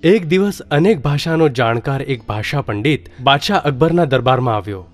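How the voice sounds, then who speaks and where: Man storyteller, steady plain delivery, children's story, soft music behind. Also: medium pitch, normal speed, no room sound. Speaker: narrator. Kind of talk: scripted children's story narration.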